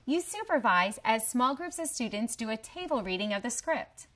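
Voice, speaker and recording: A woman's voice: on the high side, very animated, moderate pace, a bit bright. A woman delivering scripted promotional narration as a presenter. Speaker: host presenter.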